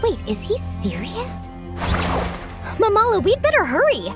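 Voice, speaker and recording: Casting: sunny young game heroine, high lively voice, friendly, music underneath. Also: female voice, measured pace, heavily acted. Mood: upbeat. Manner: friendly, upbeat. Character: cheerful young woman contestant, friendly and eager. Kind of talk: game cutscene voice acting.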